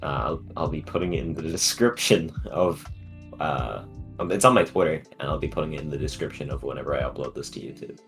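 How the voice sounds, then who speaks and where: A young man hosting a casual podcast, joking and self-deprecating, with halting, stop-start delivery. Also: rumbling low, fairly bright tone, faint music behind. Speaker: podcast host. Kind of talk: casual chat.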